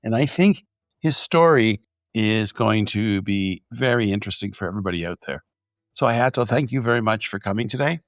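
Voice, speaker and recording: A man's voice: lowish voice, expressive, moderate pace, no room sound, clean studio sound. A man from Hungary hosting a podcast, asking his guest curious questions in a friendly way. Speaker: podcast host. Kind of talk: conversational interview.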